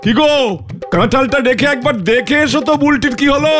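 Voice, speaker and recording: A man's voice: extremely high pitch, fairly quick, a bit bright. A man voicing an irritable, grumbling husband and father in a comedy cartoon. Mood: irritated. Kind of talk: Bengali comedy cartoon acting. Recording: music behind the voice.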